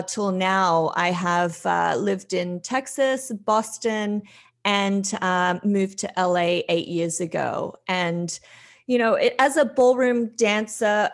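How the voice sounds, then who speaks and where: A woman from Australia with a light Australian accent, telling her story as a podcast guest in a chatty, unhurried way. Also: mid-range voice. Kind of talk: casual podcast interview.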